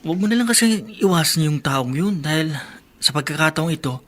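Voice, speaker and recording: An actor playing a supportive young college friend in a radio drama. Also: male voice, a little high, lively intonation, a little fast, a bit bright. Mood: concerned. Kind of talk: Tagalog radio drama acting.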